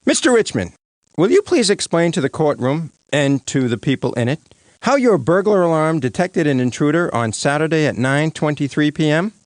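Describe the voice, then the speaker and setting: Pompous courtroom prosecutor for animation: high, animated, grandiose. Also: male voice, normal speed, no echo. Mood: self-important. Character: pompous, theatrical male prosecuting lawyer. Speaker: lawyer character. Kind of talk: animated courtroom cartoon voice acting.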